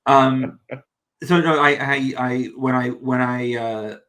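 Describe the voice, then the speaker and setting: A man's voice: medium pitch, steady tone, slow, a bit bright. A man in his thirties from New Zealand, with an accent blending New Zealand and American, chatting as a guest with lots of self-deprecating restarts. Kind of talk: casual chat.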